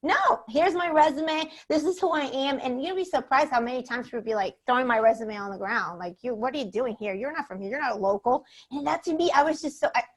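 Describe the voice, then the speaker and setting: A woman from Venezuela, a native Spanish speaker, telling her life story as a podcast co-host in an animated way, laughing often and acting out quotes. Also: sits high, quick pace, few pauses, slightly rough. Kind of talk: casual storytelling chat.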